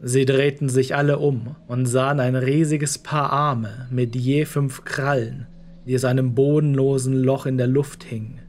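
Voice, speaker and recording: Ein Mann liest als Erzähler eine Geschichte vom Skript. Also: male voice, medium pitch, level tone, measured pace, darkish tone. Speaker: narrator. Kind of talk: scripted story narration.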